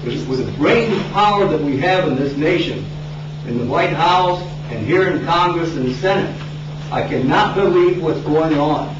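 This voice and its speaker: A man's voice: fairly high pitch, lively intonation, normal speed. Earnest man addressing a news conference. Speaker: army veteran speaking at a news conference. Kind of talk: prepared statement. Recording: echoey, distant, dull, noisy.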